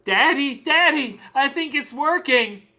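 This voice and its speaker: Lady doing tiny excitable girl in a drama monologue, way high n bright, sing-songy. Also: measured pace, exaggerated voice. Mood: delighted. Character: earnest little girl, a small excitable toddler.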